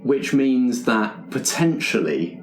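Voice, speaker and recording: A man's voice: mid-range voice, big pitch swings, slow, a little hiss or hum. A man narrating a tabletop roleplaying game as game master, explaining possibilities in a tentative, exploratory way. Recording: echoey room.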